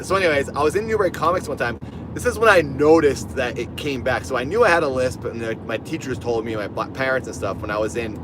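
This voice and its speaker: A man with a lisp chatting casually on a livestream from his car while driving, rambling and reading out donations. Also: medium pitch. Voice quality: lisp on S sounds. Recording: car road noise behind.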